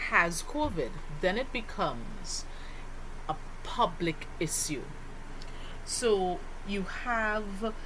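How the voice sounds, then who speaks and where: A woman delivers a lesson in a slightly low voice. She moves slowly and deliberately, putting weight on key words and asking rhetorical questions. Noticeable noise sits behind her on the recording. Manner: deliberate, teacherly, with rhetorical questions and emphatic stresses. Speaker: lecturer. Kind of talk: explanatory lesson voice-over.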